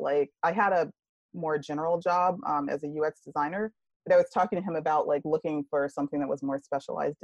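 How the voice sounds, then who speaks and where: A woman guest on a podcast interview talking about her career in a relaxed way, with many fillers. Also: low voice, no echo, highs cut off. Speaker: guest, UX writer. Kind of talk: casual interview.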